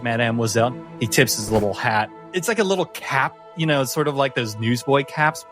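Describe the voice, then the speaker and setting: A man's voice: mid-range voice, fairly bright tone. A man acting as game master, narrating a tabletop game with descriptive, casually phrased improvisation.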